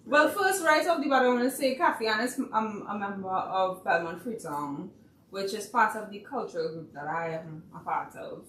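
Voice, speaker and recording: Woman, talk show guest, lively, off-mic, echoey room. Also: mid-range voice, moderate pace. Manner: lively, laughs easily. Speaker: guest, playwright. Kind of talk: casual talk show chat. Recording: roomy, mic at a distance, faint hum.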